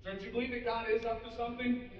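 A man's voice: very high-pitched, fairly even intonation, quick pace. Preaching man in an echoey church hall. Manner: earnest preaching with frequent restarts, addressing the congregation directly. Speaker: pastor. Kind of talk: sermon. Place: large church auditorium with a seated congregation. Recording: echoey, muffled hall audio from a distance.

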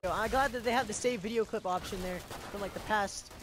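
A man's voice: high voice, fast. A young man chatting casually with friends as he plays a game, swearing and using slang. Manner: profane, slangy. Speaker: gamer playing with friends. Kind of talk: casual gaming chat.

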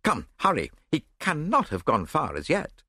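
An earnest, impatient gentleman sidekick , voiced by a man reading a story. He sounds urgent but polite, with hugely varied intonation in a dry, close recording. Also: fairly high pitch, huge pitch swings, normal speed, gravelly, no echo, pitched above the performer's own voice, full character voice. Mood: excited, urgent.

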